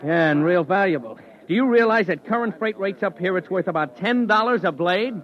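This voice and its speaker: A man's voice: sits high, expressive, brisk, dull audio. An actor playing a boisterous, wry moon-base crewman in a 1950s radio drama. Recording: old radio drama, faint crowd.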